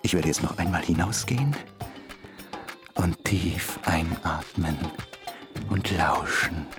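A male actor playing a wistful, lonely half-ape man in a brooding, halting radio drama monologue. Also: sits low. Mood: wistful, longing. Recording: music playing behind the voice.

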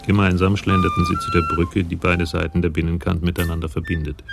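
A male narrator of a radio crime drama. His voice is very low with a gravelly creak, telling the detective story in scripted prose over music. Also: little pitch movement, fast talker. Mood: measured storytelling. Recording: music behind the voice.

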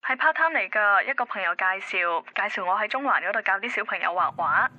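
A woman's voice: medium pitch, lively intonation, fast talker, dull audio, lightly acted. An actress playing a guarded, reluctant young girlfriend in a Hong Kong Cantonese radio drama, defensive and weary of commitment. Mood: evasive. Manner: evasive, defensive.

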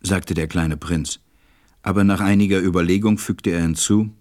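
A man narrating a fairy tale as a measured, reflective storyteller in a radio play. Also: low-pitched, steady tone, a little mellow, close to an everyday voice. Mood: calm, narrating.

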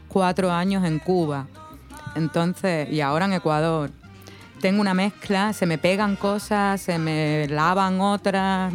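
A woman in her thirties from Cádiz, with a mixed Andalusian Spanish accent, chatting and telling stories in a lively way. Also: lowish voice. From Spain (Cádiz). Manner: chatty, animated storytelling with frequent "¿no?". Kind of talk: casual conversation.

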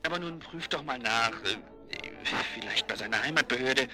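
A man's voice: mid-range voice, moderate pace, sharp tone, faint music behind. An actor in a German radio drama playing an eager, insistent man passing on information in an urgent, colloquial way.

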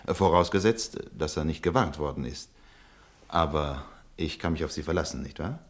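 A man's voice: extremely low pitch, normal speed. An actor playing a cold, falsely polite German officer in a radio play who curtly dismisses a woman. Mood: smoothly formal. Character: cold, smooth German occupation officer, middle-aged. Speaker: officer taking a denunciation.

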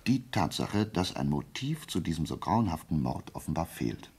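A gravelly, very deep-voiced detective. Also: male voice, very animated, a little mellow, exaggerated voice. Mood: analytical, emphatic. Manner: didactic, deliberate, prodding with rhetorical questions. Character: brilliant, methodical male amateur detective, self-assured and theatrical. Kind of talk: German radio play acting.

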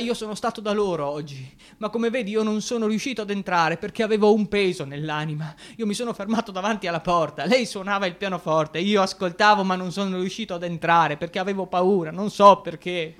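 Fragile young dreamer in a radio play, high sharp voice, worn out n agitated, keeps pleading and repeating himself. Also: male voice, a little fast. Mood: anguished, faint. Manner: pleading, rambling, self-reproaching, repeats himself. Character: anguished, weak-willed young dreamer, exhausted and agitated. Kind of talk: theatrical radio drama acting.

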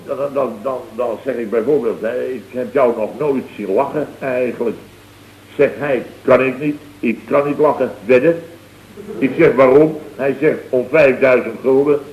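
Guy doing pompous indignant boss ranting away in an old radio comedy, middling pitch, kinda dull sound. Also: moderate pace, a little hiss or hum, close to an everyday voice. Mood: self-important, boastful. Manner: exclamatory, rambling, rhetorical questions. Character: blustering middle-aged building firm boss, indignant, self-important storyteller. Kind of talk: 1970s radio comedy acting. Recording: dull vintage radio recording.

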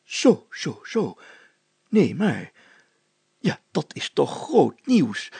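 Guy doing pompous storybook mayor, gravelly, fatherly, drags his words out, stammers when flustered. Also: fairly high pitch, huge pitch swings, dark tone, no echo, pitched above the performer's own voice, heavily acted. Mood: indignant. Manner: stammering, deliberate, drawn-out phrasing.

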